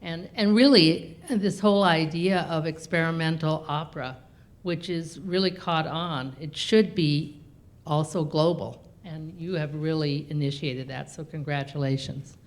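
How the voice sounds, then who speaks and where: A woman's voice: deep voice, measured pace. A woman hosting an event, giving unscripted introductions. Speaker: event host.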